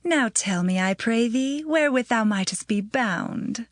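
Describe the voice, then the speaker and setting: An actress playing a seductive, insistent temptress in a Bible audio drama, pleading in archaic language. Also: female voice, on the high side, expressive, a little slow, no echo, clean studio sound. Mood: coaxing.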